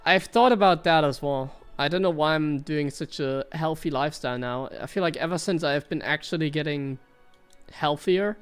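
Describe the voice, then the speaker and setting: A man's voice: on the high side, moderate pace, clear, resonant tone, soft background music. German man rambling over his gameplay. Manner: rambling, self-critical, frank. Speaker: streamer. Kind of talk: casual commentary on his own gameplay.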